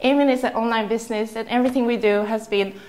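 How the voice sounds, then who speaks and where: A young Swedish-accented woman speaking to a room of listeners, chatty and a little nervous, laughing at herself often. Her voice sits fairly high. The sound carries noticeable echo from the room. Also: steady tone, fast, a little mellow. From Sweden. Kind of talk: nervous live talk to a small audience. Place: room with audience.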